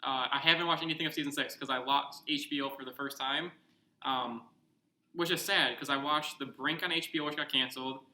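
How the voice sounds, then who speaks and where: A man's voice: medium pitch, steady tone, a little fast, bright, forceful tone, reverberant, low-quality recording. A young man co-hosting a casual podcast, rambling and joking, asking lots of questions. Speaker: podcast co-host. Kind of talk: casual chat.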